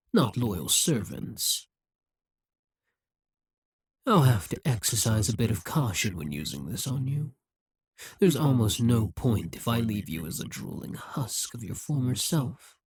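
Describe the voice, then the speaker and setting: A man playing a husky, scheming demon king. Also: mid-range voice, a little mellow, dry recording, studio quality, exaggerated voice. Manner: coldly menacing, coaxing and exasperated. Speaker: voice actor. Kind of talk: audio roleplay.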